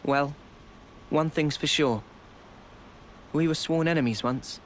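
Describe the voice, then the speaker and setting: A man's voice: a little high, slow, a little hiss or hum. A man playing an earnest, thoughtful young soldier in a video game cutscene, with a sincere, pensive delivery. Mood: reflective. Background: game soundtrack music.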